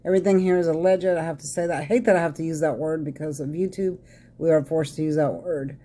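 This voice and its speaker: An older woman talks through a tarot reading in a loose, chatty way, calling her viewers "y'all." Her voice is raspy and crackly, and it sounds thick and congested from a head cold. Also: low voice, fairly even intonation, fast, dark tone. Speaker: tarot reader. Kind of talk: casual psychic reading vlog.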